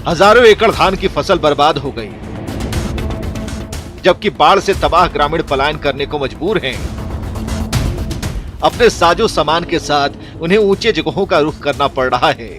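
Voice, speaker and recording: A man's voice: a little high, lively intonation, a little slow, pauses often, over music. A man delivering scripted news narration as a voice-over reporter, formal in manner.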